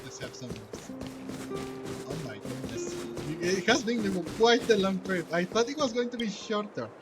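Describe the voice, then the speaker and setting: A man's voice: sits high, big pitch swings, moderate pace, over loud music. A man giving casual, hesitant commentary as he hosts a gameplay video.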